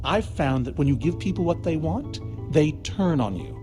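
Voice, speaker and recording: Loud music runs under a man acting a neurotic male recluse from a video game. His voice sits a little high and swings widely, with a theatrical, wordy, evasive delivery that turns every remark into a wry little performance. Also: expressive, moderate pace, natural voice. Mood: bitter, guarded.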